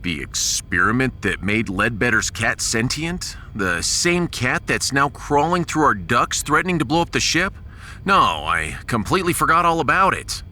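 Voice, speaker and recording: Bright, dramatic starship captain played by a man. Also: mid-range voice, very animated, moderate pace, slight background noise. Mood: sarcastic, impatient. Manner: dramatic, wry, impatient. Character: bold, sarcastic male starship captain. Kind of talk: science fiction audio drama acting.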